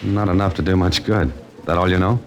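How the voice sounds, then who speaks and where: An American actor playing a wry, laconic amateur sleuth in a 1940s-style radio drama, both narrating and talking in scenes. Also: male voice, low-pitched, a little fast. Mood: probing. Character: wry, self-possessed male amateur sleuth narrating his case. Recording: old radio recording with loud music.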